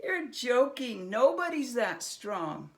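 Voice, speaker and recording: A tiny winged goblin acted out by a woman in a squeaky, raised voice. He is a cocky little storybook pipsqueak who blusters loudly and scares easily. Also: big pitch swings, slow pace, gravelly, full character voice. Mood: cocky, scolding. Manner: blustering, stammering when scared. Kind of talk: acted children's storybook reading.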